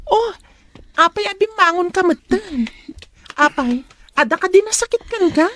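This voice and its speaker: A woman's voice: extremely high pitch, expressive, moderate pace, a bit bright. A woman playing a concerned, easily alarmed confidante in an Ilocano radio drama, questioning and fretful. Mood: drowsy concern.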